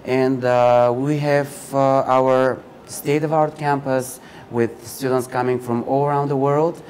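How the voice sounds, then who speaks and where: A man from Bulgaria with a Bulgarian accent, giving informative interview answers as a university recruiter, pausing mid-phrase. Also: mid-range voice, level tone, darkish tone. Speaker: university recruiter and admissions counselor, interview guest. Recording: clear background ambient noise.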